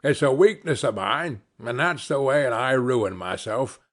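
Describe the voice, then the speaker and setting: A man voicing a shrewd old male pawn dealer who haggles slowly. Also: mid-range voice, expressive, a bit bright. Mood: haggling.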